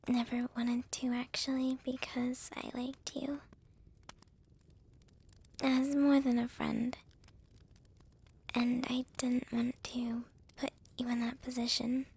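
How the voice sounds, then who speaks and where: Woman playing a shy vampire girl, slow breathy whisper. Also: fairly high pitch, exaggerated voice. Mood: shy, tender. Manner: hesitant, halting, soft-spoken. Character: shy, gentle young female vampire with a crush. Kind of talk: whispered ASMR roleplay.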